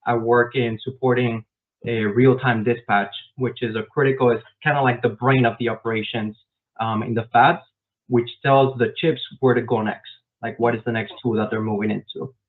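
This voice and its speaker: A man raised in Miami answering interview questions about his work as a software developer, explaining step by step with frequent "um" fillers, over a video call. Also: mid-range voice, steady tone, moderate pace. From grew up in Miami, Florida. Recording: tinny phone-like online call.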